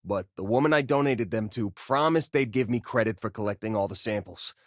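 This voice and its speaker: An actor playing an easygoing, wisecracking young space miner in a video game, with an American accent and a casual, joking delivery. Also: male voice, lowish voice, brisk, no room sound, studio quality, natural voice. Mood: wistful, candid. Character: easygoing, wisecracking male space miner who collects rocks. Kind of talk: conversational video game character dialogue.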